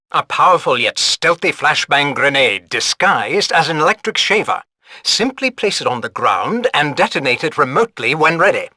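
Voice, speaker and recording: An actor playing a fussy British gadget master in a spy video game, speaking formally in a clipped, dryly exasperated way. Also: male voice, on the high side, bright, forceful tone, heavily acted. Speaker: mission support quartermaster. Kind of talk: video game mission briefing voice acting.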